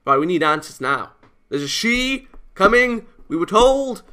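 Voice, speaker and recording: A man giving off-the-cuff let's play commentary, excitable and stumbling over his words. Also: sits high, unhurried. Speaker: let's play host reading a visual novel. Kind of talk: off-the-cuff gameplay commentary mixed with read-aloud.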